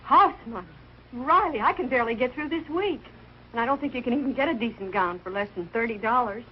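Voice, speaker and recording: A woman plays a motherly, practical American housewife in old television sitcom style. Her voice is on the high side and emphatic, fond yet exasperated. The soundtrack is dull, with light noise. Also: expressive, fast talker, natural voice. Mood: firm. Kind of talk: 1940s TV sitcom acting. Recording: muffled, noisy 1940s television soundtrack.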